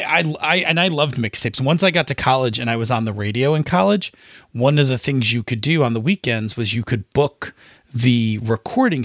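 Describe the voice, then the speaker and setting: A man's voice: medium pitch, a bit bright, no room sound, clean studio sound. A man in his forties chatting casually as a podcast co-host. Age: 40s. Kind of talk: casual chat.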